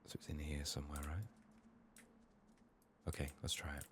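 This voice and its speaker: A man quietly narrating a game playthrough in soft-spoken ASMR style, murmuring to himself and often dropping toward a whisper. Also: a bass voice, little pitch movement, normal speed, noisy background. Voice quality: soft, hushed, breathy in whispers. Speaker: gaming streamer.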